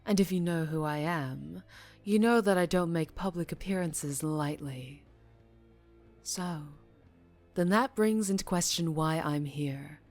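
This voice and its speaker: A woman acting as a slow, low-voiced female mafia boss. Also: full character voice. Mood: quietly menacing. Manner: slow, deliberate, polite with veiled menace. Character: dangerously charming, teasing female mafia boss. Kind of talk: ASMR roleplay acting.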